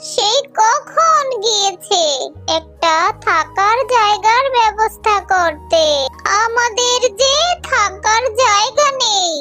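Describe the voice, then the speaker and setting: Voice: very high-pitched, bright, forceful tone, exaggerated voice. A performer voicing a young fox girl in a children's cartoon, with a plaintive, trusting, childlike delivery. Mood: frightened, tearful.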